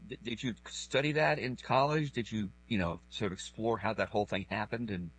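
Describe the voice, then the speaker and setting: A mature man with a husky voice, asking questions in a halting, polite way as an interviewer. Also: fairly low pitch, rough recording. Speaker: interviewer, radio host. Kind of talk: conversational interviewing.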